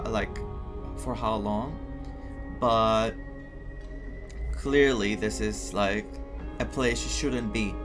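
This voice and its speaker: A young man from Greece giving casual game playthrough commentary as a streamer, thinking aloud in a rambling way. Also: medium pitch. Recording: game music behind the voice.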